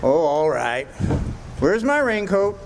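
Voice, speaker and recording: A man's voice: a little high, big pitch swings, slow pace, background noise, heavily acted. Man acting a henpecked country husband, voice swooping. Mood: grudging. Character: reluctant, henpecked old country husband named Sam. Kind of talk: old-time radio drama acting.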